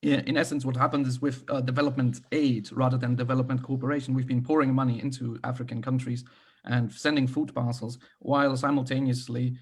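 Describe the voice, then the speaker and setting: A man opening an online panel discussion while sick, his voice husky and frayed. He talks with many fillers and restarts, and makes dry, self-deprecating remarks about his fading voice. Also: mid-range voice, flat delivery, a little fast. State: sick, losing his voice, coughing. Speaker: event co-host. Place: video call.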